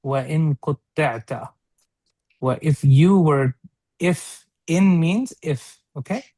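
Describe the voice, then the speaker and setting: A man teaching an informal interactive read-along class, explaining phrase by phrase in a halting way and often checking for understanding. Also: on the high side, warm tone, dry recording. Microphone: online video call.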